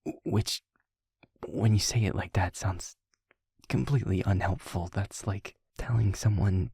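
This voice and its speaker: A soft-spoken male vampire, acted by a man in an intimate audio drama. His voice is mellow, very breathy and captured close and dry. He speaks haltingly and with care, reassuring his newly turned partner. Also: lowish voice, dry recording, heavily acted. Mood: gentle, reassuring. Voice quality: breathy, husky, near-whispering. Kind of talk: intimate audio roleplay monologue.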